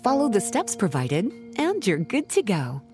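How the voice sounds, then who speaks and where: Teasing, lively alchemy mentor. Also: female voice, mid-range voice, moderate pace, music in the background. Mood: guiding. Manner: coaxing, playful, instructive. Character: teasing, nurturing adult woman alchemy mentor. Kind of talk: video game voice acting.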